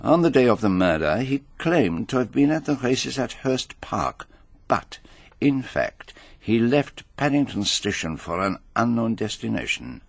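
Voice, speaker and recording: A courteous, self-assured detective in a radio mystery, his husky voice rising and falling expressively. He phrases everything with fussy precision and theatrical deliberation, close and dry on the microphone. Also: mid-range voice, sing-song, a little slow, no room sound, full character voice. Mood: measured, confiding. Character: fastidious, self-assured male foreign detective, courteous and methodical. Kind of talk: British radio drama acting.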